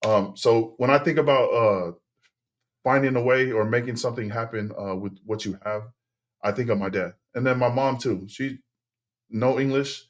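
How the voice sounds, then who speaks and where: A man's voice: mid-range voice, moderate pace. Laid-back man, native Tongan speaker. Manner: relaxed, rambling, many fillers and restarts. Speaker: podcast guest. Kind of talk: casual interview storytelling.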